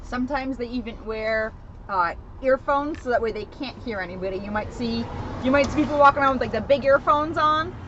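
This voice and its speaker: A woman with a fairly high voice speaks informally, explaining things to young listeners during a read-aloud. The recording is a bit dull, with clear noise in the background. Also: fairly quick. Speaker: police officer co-reading a children's book. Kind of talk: informal explanatory asides to children.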